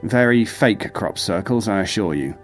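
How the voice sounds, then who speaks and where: A weary, rational male psychologist played by an actor in an audio drama. His lowish voice is measured, formal and skeptical, with faint music behind. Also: animated, natural voice. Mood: skeptical, regretful. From England.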